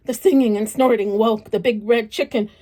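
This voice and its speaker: A woman reading a story aloud for children in an expressive, playful, dramatic way, putting questions to her listeners. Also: on the high side, moderate pace. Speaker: storybook reader.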